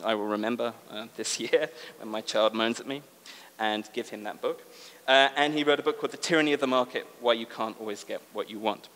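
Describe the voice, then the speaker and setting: A man's voice: mid-range voice, a little fast, fairly bright tone. A man with a British accent giving articulate, lecture-style opening remarks as moderator of a public debate, with frequent ums. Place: large exhibition hall with an audience. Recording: long reverb of a large hall.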